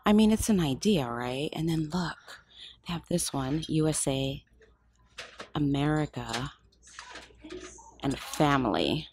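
A woman filming a shop-with-me vlog in a craft store aisle on a handheld phone, excited and gushing, often dropping to a whisper. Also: low-pitched, slow pace, long pauses, slight background noise. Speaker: shopping vlogger.

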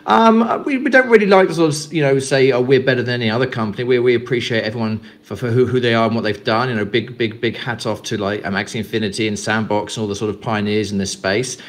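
Man from England, mid-pitched voice, rambling casual answers, lots of ums. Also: fast talker, hardly any pauses. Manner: rambling, many fillers and repeated words. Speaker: guest, company representative. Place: Greece.

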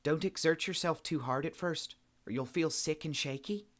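A man's voice: a little high, moderate pace, pitched above the performer's own voice, natural voice. A man voicing a blunt, friendly, informal woman adventurer in an audiobook. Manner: informal, plain-spoken.

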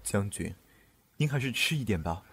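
A man's voice: fairly low pitch, lively intonation, normal speed, a bit bright, breathy edge, exaggerated voice. A man playing a deferential, caring soldier who attends his general in an ancient Chinese audio drama. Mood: concerned, deferential. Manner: deferential, solicitous.

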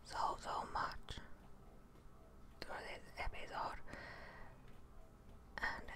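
A young woman whispering ASMR commentary over a video game, halting as she muses to herself. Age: young adult. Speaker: gaming ASMR host.

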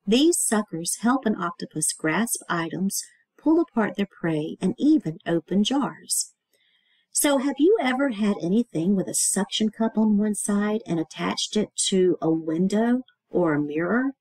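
A woman reading aloud to young children as a teacher, in an expressive way, adding simple asides and checking questions. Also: mid-range voice, measured pace, dry recording, poor audio.

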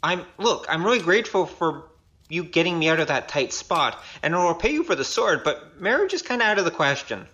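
A woman plays a young male rogue in an audio drama. The voice is bright and very animated, a glib gambler talking his way out with wry, hesitant patter. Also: fairly low pitch, a little fast, lo-fi sound. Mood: awkward, apologetic.

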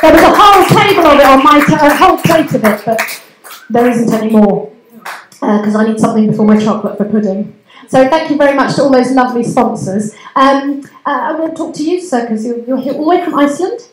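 High, bubbly English woman MC on a handheld mic, echoey hall with noise behind. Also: expressive, fast talker. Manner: bubbly, chatty, teasing the crowd. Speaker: MC of a comedy night. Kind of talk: live emcee banter. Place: community centre hall, live audience.

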